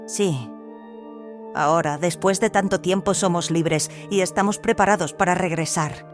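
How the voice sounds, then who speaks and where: A woman playing a serene, fervent religious zealot in an audio drama, speaking calmly and solemnly. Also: lowish voice, unhurried, faint music behind, natural voice. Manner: calm, devout, solemn. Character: serene, fervent cloned female zealot from an isolated world. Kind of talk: dramatized audio fiction dialogue in Spanish.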